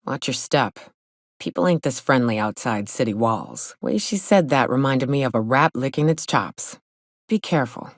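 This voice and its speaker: A hard-drinking woman who hunts big game in a video game. Her voice sits a little low and swings widely in pitch as she talks in a cocky, folksy, sardonic way. The sound is dry, with no room around it. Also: dry recording. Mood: wry, guarded. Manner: folksy, drawling slangy talk, cocky and sardonic. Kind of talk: video game character dialogue.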